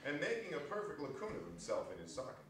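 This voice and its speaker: Animated male narrator in echoey hall. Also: on the high side, quick pace. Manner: expressive, relishing lists and repeated phrases. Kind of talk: theatrical narration of a dramatic reading. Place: indoor performance space. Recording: echoey hall, distant microphone.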